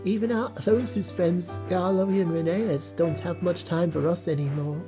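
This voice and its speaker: A woman's voice: on the low side, fairly even intonation, normal speed, over music, pitched above the performer's own voice, close to an everyday voice. A woman voicing a grouchy, aggrieved old female railway coach in a children's story, complaining at length.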